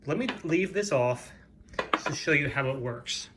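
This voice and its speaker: Over some background noise, a man with a slightly high voice presents secondhand finds. He talks off the cuff in a plain, matter-of-fact way, like a hobby reseller hosting a home-made show. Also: lively intonation, moderate pace. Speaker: flea market reseller hosting own show. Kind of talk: casual unscripted product show-and-tell.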